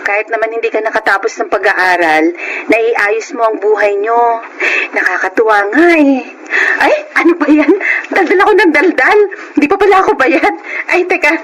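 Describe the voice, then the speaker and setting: An actress playing a cheerful, teasing young woman in a Filipino radio drama, chatty and expressive. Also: on the high side, normal speed, a little hiss or hum, close to an everyday voice, poor audio. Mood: cheerful, grateful.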